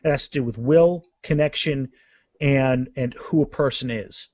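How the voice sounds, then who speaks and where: A man's voice: mid-range voice, moderate pace, darkish tone, no echo. A man chatting casually as a guest author, emphatic and often repeating words as he explains. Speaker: guest author discussing his books. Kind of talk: casual animated interview chat.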